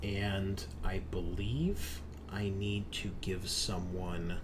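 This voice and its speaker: A man narrating a horror tabletop roleplaying game as game master, dramatic and deliberate in his delivery. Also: low-pitched, flat delivery, noisy background.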